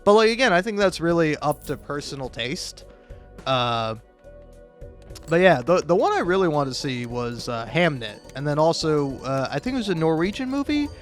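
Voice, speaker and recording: An American man with a slightly high voice chats off the cuff over gameplay. He hesitates, stammers and doubles back on his words in a self-deprecating way. Music plays behind him. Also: very animated, normal speed. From Florida, United States. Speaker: gaming video creator. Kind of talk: casual rambling chat over gameplay.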